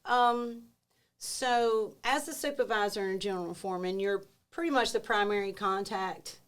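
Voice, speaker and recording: A woman's voice: mid-range voice, moderate pace, smooth. A woman with a mid-range voice hosts an interview podcast. She talks in a friendly, conversational way and works out each question aloud as she asks it. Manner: friendly, thinks aloud while framing questions.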